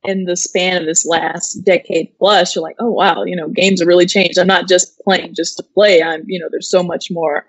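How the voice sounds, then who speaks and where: A woman's voice: fairly low pitch, flat delivery, moderate pace, few pauses, slightly rough, dry recording, low-quality recording. A woman co-hosting an entertainment show, chatting casually about pop culture. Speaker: co-host of an entertainment news show.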